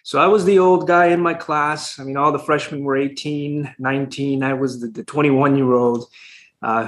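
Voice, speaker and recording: Man, thoughtful and measured. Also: mid-range voice, fairly bright tone. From Honduras. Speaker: classical school educator and guest. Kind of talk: conversational interview answers.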